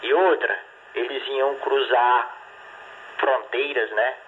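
A man's voice: mid-range voice, fairly even intonation, slow pace. A man talking casually over a ham radio link, rambling with many restarts and tag questions. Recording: tinny radio transmission with faint hiss.

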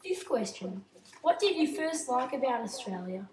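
A woman playing a female TV interviewer who reads out scripted questions briskly, in a school project role-play interview. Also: sits high, very animated, darkish tone, echoey room, slight background noise. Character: female TV interviewer hosting a show.

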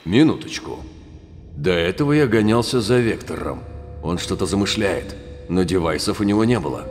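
Low, gruff big cartoon bear. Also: male voice, lively intonation, moderate pace, darkish tone. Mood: puzzled, suspicious. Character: big suspicious male bear, tough forest guardian. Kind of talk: cartoon dub acting.

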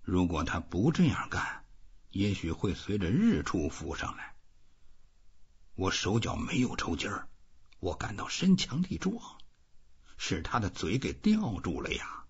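Man reading as husky, weary old fisherman. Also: sits low, huge pitch swings, a little slow, highs cut off, heavily acted. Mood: quiet, musing. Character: weary, determined old fisherman thinking aloud.

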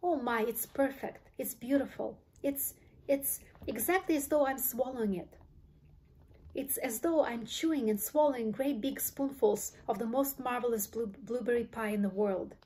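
A woman voicing a stubborn, boastful, gum-chewing girl in a storybook, exclamatory and excitable. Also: a little high, moderate pace, close to an everyday voice. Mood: gushing delight.

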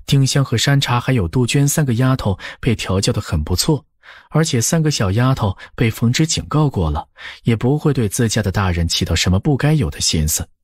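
A man reads scripted audiobook narration in a husky voice of medium pitch. His intonation moves widely, and the sound is dry and close. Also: expressive, brisk, no room sound.

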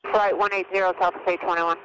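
A woman flying an airliner, giving quick, matter-of-fact readbacks over the radio. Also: lowish voice, little pitch movement. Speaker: airline pilot. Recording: noisy radio transmission.

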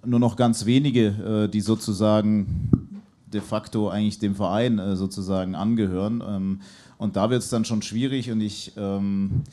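A man's voice: lowish voice, steady tone, normal speed, dark tone. A man speaking as a journalist guest on a live football panel, hesitant and full of fillers, into a handheld mic on a pub stage.

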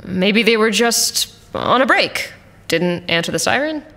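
Wry, animated combat pilot. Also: female voice, medium pitch, a little slow, gravelly, heavily acted. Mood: dry. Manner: sardonic, teasing. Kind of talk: science-fiction audio drama acting.

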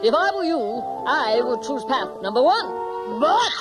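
High, teasing cartoon riddler. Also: female voice, big pitch swings, moderate pace, over loud music. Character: teasing, riddling cartoon character giving directions. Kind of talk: cartoon character acting.

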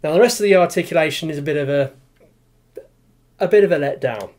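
Chatty toy reviewer in his forties, a touch high-pitched, meandering and full of fillers, recorded on a webcam at home. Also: quick pace, a little mellow. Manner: rambling, many fillers and restarts. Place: small room with display cabinet, indoors.

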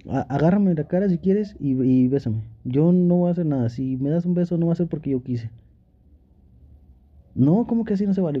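A man's voice: medium pitch, lo-fi sound, natural voice. A young man playing an affectionate, teasing, pouty boyfriend in an intimate ASMR roleplay, talking playfully and pleadingly with sweet pet names. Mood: exasperated. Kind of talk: Spanish ASMR boyfriend roleplay, intimate one-sided dialogue.

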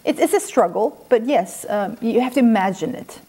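Mellow-voiced woman from Iran, thoughtful and halting. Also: fairly high pitch, animated, breathy edge. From Iran, raised in Sweden. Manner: halting, thoughtful, pausing between phrases. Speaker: interview guest, children's author and former academic. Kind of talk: unscripted interview answers. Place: quiet room in a house.

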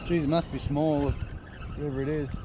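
Laid-back Australian bloke fishing from a kayak. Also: male voice, fairly high pitch, brisk, background noise. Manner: relaxed, mutters to himself, laid-back slang. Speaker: fishing vlogger. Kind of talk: casual running commentary while fishing. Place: on a kayak out at sea, outdoors. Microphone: action camera mounted on the kayak. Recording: music playing under the voice. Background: music over the talk.

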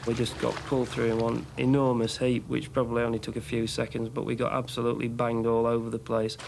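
A man in his thirties recounts his experience in an interview. His voice is even and very gravelly, with clear noise behind it. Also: medium pitch, quick pace. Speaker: cave diver, interviewee. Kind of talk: interview recollection.

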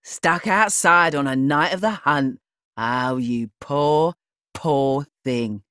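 A spiteful townswoman in a dark video game, gloating with false pity. She drawls very slowly in a lowish, theatrical voice. The sound is dry. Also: dry recording. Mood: mock pity. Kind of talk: video game character acting.

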